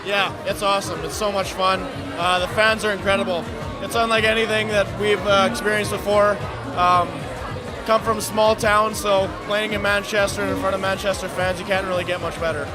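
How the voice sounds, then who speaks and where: A young man from Canada speaks in a high, flat, even tone, answering questions easily at a busy event. Music and crowd chatter ring around him. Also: moderate pace. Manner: easygoing, plain-spoken. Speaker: ice hockey player guest. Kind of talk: casual interview answers.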